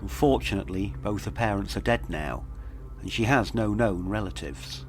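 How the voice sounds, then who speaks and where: A man reading scripted mystery narration in a measured, dramatic storytelling manner. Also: fairly low pitch, faint music behind. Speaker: documentary podcast narrator.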